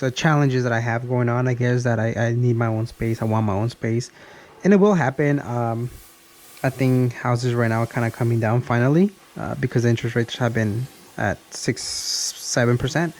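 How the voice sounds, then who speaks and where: A man's voice: medium pitch, a little mellow. A man in his early thirties talking in a casual, rambling vlog style, often repeating and restarting his phrases. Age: early 30s. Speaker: car vlogger.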